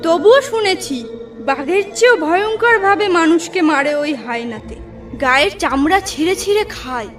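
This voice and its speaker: A woman plays an anxious cartoon village wife with an extremely high voice. She pleads and frets over her husband, a little far from the mic, with music clearly playing behind. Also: expressive, moderate pace. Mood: worried pleading. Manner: worried, pleading. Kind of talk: cartoon voice acting.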